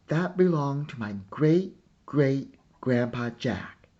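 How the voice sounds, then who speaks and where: A man's voice: mid-range voice, lively intonation, slow, mellow, highs cut off, voice pushed up. A man reading a storybook as a proud, eager little girl who earnestly and carefully plays museum guide. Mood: proudly explaining.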